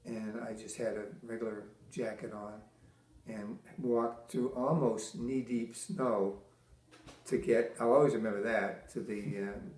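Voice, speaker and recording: An older man from Massachusetts, a military veteran, answering interview questions with halting restarts, many fillers and dry teasing humor. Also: lowish voice, steady tone, a little mellow. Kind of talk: oral history interview. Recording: echoey room.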